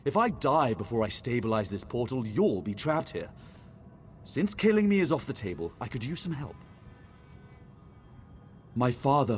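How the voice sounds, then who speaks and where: Earnest, animated fantasy mage. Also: male voice, mid-range voice, slight background noise. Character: worried, focused male mage seeking his missing father. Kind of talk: fantasy video game character acting.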